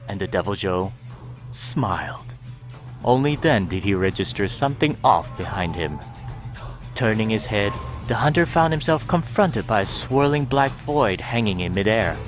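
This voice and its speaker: A man narrating a scripted story in a measured, dramatic storytelling manner. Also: fairly low pitch, expressive, music in the background.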